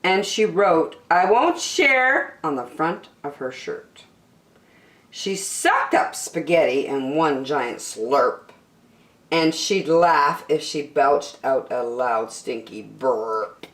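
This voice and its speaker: A woman reading a picture book aloud to children in an expressive way, drawing out key words for emphasis. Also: a bass voice, slow pace, reverberant. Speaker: children's story reader.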